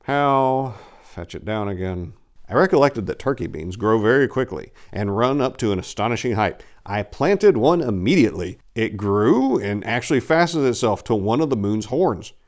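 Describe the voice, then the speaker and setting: Man playing a theatrical, boastful gentleman adventurer with lively pitch. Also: close to an everyday voice. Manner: theatrical, grandiose storytelling. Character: boastful gentleman adventurer baron telling tall tales. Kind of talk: dramatic story reading.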